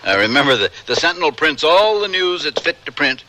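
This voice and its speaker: An actor playing a nosy, wry frontier reporter with a folksy, glib manner in a radio western. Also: male voice, a little high, very animated, a little fast, noisy background. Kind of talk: old-time radio western acting.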